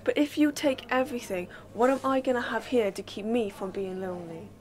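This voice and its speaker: A woman's voice: on the high side, brisk, lightly acted. A young woman playing a clingy, lonely mother, stubborn and needy, in radio-play drama. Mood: stubborn, wounded. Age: young adult. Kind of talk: low-key dramatic radio-play dialogue.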